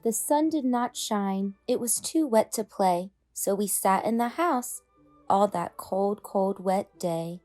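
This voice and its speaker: A woman's voice: medium pitch, takes it slowly, soft background music. A woman hosting a story time, speaking expressively and emphatically to young children. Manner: animated, emphatic, addressing children. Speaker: host reading children's stories.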